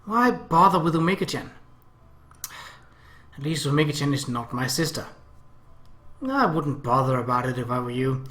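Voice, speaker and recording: A man's voice: medium pitch, expressive, normal speed, long pauses, a bit bright, close to an everyday voice. A man voicing a blunt, cocky teenage classmate handing out insistent advice in a visual novel.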